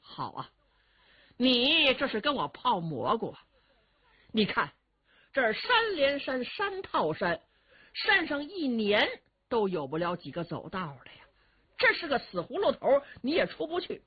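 Lady doing a bandit king in a told story, gruff n bossy, impatient, swingy pitch, slightly dull recording. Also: big pitch swings, unhurried, dry recording, heavily acted. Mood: taunting.

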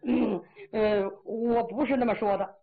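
A woman's voice: fairly high pitch, fairly even intonation, unhurried. A woman voicing a boastful, crude male general who brags and then pleads in a whiny rush. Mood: begging. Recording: tinny phone-like audio.

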